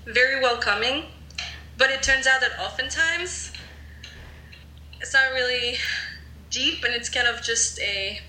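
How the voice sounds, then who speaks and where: A young French woman speaks English with a French accent in a casual podcast chat. Her voice is very bright and sits fairly high. She hedges her points with plenty of fillers, and the room around her has a noticeable echo. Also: measured pace, pauses often. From France. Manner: chatty, hedging with many fillers. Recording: roomy echo.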